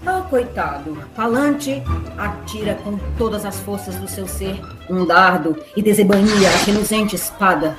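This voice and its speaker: A woman's voice: mid-range voice, a little slow, hardly any pauses. Playful woman narrator with echo. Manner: playful, theatrical. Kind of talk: dramatic scripted narration with wry asides. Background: music.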